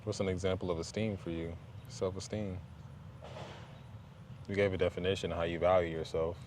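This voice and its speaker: Male conversation partner, very low register, casual prompting questions, noticeable room ambience. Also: level tone, fairly quick. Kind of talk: casual chat. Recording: background ambient noise.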